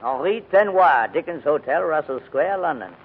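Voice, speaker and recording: An actor playing a crusty but kindly older gentleman in a formal, hesitant manner, in 1940s radio drama style. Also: on the high side, animated, normal speed, husky. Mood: grumbling, fussy. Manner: fussy, grumbling, formal, hesitant. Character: crusty, reluctant but kindly old English gentleman guardian. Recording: muffled vintage 1940s radio recording, music.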